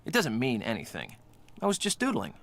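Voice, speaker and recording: A man's voice: medium pitch, wildly swooping pitch, a little fast, fairly bright tone, dry recording, heavily acted. A man acting a breezy, evasive real-estate developer in a video game, chatty and emphatic. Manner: chatty, emphatic, brushes things off. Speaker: video game character actor. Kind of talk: scripted game dialogue.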